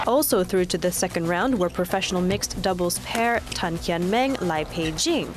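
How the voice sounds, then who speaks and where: A Malaysian woman presents scripted television news in a slightly low voice. Music plays clearly behind her as she reads.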